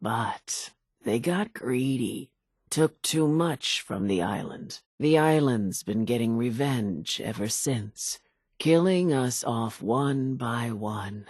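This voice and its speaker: Old island widow, very deep raspy voice, slow, vengeful, folksy storyteller, game dialogue. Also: female voice, expressive, darkish tone, no echo, studio quality, heavily acted. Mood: grim storytelling. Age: older. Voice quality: raspy, gravelly. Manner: folksy, dramatic storytelling drawl. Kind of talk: video game character dialogue.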